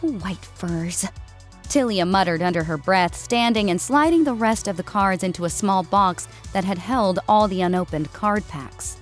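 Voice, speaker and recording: Female story narrator, scripted read, mid pitch, light music underneath. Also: moderate pace, few pauses.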